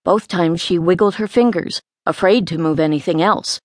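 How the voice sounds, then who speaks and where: A woman narrates a children's fantasy audiobook in a scripted storytelling style. Her voice sits somewhat low for a woman. The sound is very dry, with no room around it. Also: normal speed, no room sound.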